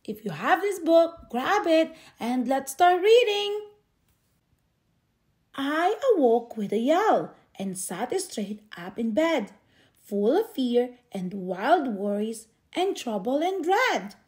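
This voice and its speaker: High, animated woman reading a picture book. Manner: theatrical, drawn-out emphasis on key words. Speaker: storybook reader. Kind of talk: expressive read-aloud of a children's picture book.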